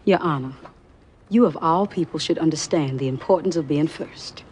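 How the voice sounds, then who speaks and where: A woman's voice: low-pitched, moderate pace, darkish tone, a little hiss or hum, close to an everyday voice. An actress playing a determined woman pleading to a judge. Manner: persuasive, formal plea.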